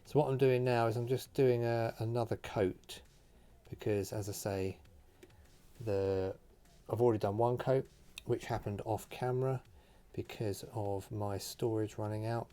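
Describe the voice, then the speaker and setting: Low-voiced man giving a craft tutorial. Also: fairly even intonation, a little slow, dark tone. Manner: conversational, explanatory, thinking aloud as he works. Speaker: host of a hobby craft tutorial. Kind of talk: casual, unscripted how-to commentary while painting. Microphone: phone recording.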